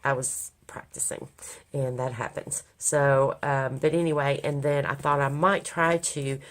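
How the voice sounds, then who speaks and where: Woman crafter from Kentucky, very low raspy voice, hoarse from a cold, rambling homey chat. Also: steady tone, a little slow. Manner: rambling, many ums. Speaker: craft vlogger. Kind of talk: casual crafting vlog. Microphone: overhead camera on a craft table.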